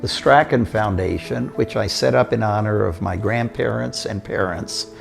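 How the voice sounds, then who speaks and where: An older man from Costa Rica, telling his life story in a measured, steady delivery. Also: lowish voice, vocal fry, over music. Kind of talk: prepared autobiographical narration.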